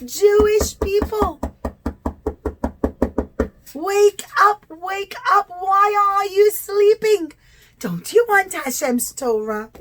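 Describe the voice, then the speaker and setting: A woman's voice: very high-pitched, animated, drawn-out words, pauses often, background noise, voice pushed up, full character voice. A woman voicing an earnest, urgent male Biblical prophet with exclamatory, emphatic delivery in a children's puppet show.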